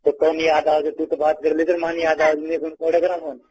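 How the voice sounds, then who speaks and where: A man's voice: fairly high pitch, monotone, fairly quick, a little mellow. A man with a Rajasthani accent, chatting casually and privately as a caller on the phone. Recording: dull lo-fi phone call, background noise.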